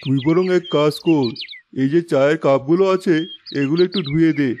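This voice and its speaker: Guy voicing a kindly village shopkeeper in a cartoon, slightly high voice, matter-of-fact, bit of noise and birdsong. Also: brisk, warm tone, highs cut off, natural voice. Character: plain-spoken, kindly male village shopkeeper. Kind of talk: Bengali cartoon moral story dialogue.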